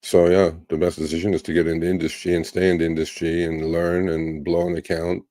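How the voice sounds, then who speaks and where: A man in his fifties from Turkey, hosting a livestream Q&A and telling stories in a relaxed, rambling way with many fillers. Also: sits low, brisk, no room sound. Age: 50s. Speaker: livestream host. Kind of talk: casual livestream Q&A.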